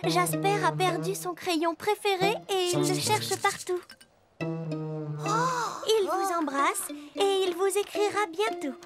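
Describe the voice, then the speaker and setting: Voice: very high voice, huge pitch swings, slow pace, over loud music, full character voice. An actor voicing a kind, sensible little girl, a caring friend in a children's cartoon. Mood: friendly.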